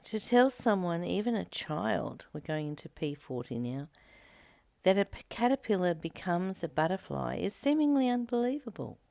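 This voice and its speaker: A woman reading a spiritual text slowly and meditatively, adding her own comments and dropping into soft whispers to stress a point. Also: on the low side, sing-song, vocal fry, dry recording.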